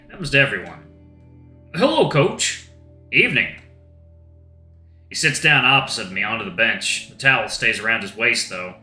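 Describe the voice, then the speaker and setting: A man's voice: medium pitch, bright, forceful tone. A man narrating a visual novel in the first person, in a reflective, subdued way. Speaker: let's-play narrator. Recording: roomy echo with faint guitar music.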